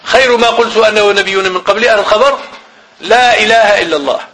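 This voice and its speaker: A man's voice: high-pitched, rapid-fire, bright, forceful tone, poor audio. A man with a Moroccan Arabic accent teaching a grammar lesson. He repeats phrases, asks the class questions and then answers them himself.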